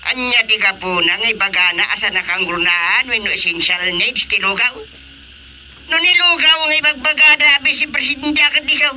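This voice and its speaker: A man's voice: very high-pitched, normal speed, bright, forceful tone, slight background noise, full character voice, low-quality recording. A man with an Ilocano accent playing an indignant, emphatic village chairman in a radio comedy drama. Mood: agitated complaint. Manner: emphatic, complaining. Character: indignant male village chairman lodging a grievance.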